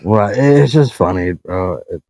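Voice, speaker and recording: A man's voice: fairly low pitch, expressive, normal speed, mellow, no room sound. A man from California with a slight Southern drawl, co-hosting a podcast and telling rambling, profane stories in casual chat. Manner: laid-back, profane, rambling storyteller. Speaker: podcast co-host.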